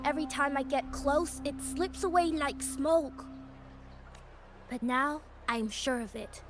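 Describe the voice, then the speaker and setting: An actress playing a sly, breezy young Taoist woman in a video game, playful, teasing and cryptic. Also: high voice.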